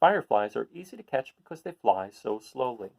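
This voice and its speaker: A man's voice: medium pitch, very animated, brisk, gravelly, a little mellow. A man hosting a read-aloud, reading a children's picture book and adding casual, explanatory asides for young listeners.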